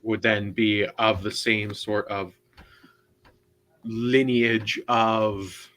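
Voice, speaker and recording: A man on a book club panel, explaining in a halting way with many restarts and checks of "right?". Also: on the low side, level tone, a bit bright, a little hiss or hum. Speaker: co-host and panelist. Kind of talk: casual online book discussion.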